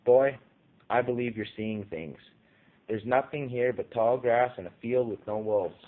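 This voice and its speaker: A man's voice: medium pitch, a little slow, phone-quality sound, lightly acted. A man voicing a pompous, irritated king from a storybook, giving stern, declamatory pronouncements. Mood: calm, dismissive. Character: pompous, irritated king dismissing monsters, commanding a crowd. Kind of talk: storybook character voice in audio short story.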